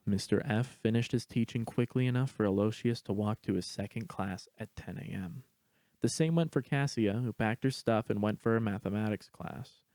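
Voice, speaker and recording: A man's voice: on the low side, a little mellow, no echo. A man reading a scripted story aloud as its narrator.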